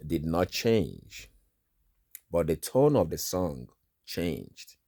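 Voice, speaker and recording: A man's voice: deep voice, a little mellow, slightly rough, dry recording, clean studio sound. A Nigerian man whose native tongue is Igbo, sharing a dream in an informal spoken sermon and dotting his talk with "you know" and "all right".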